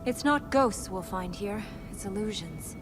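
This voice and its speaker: An actress playing an earnest, thoughtful young princess in a video game, speaking seriously and with measured care. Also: female voice, mid-range voice, expressive, over music, lightly acted. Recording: clear background noise.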